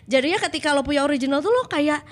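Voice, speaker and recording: A woman's voice: high-pitched, expressive, racing. A woman, chatting casually as a podcast co-host in slangy speech mixed with English phrases. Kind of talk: casual nostalgic chat.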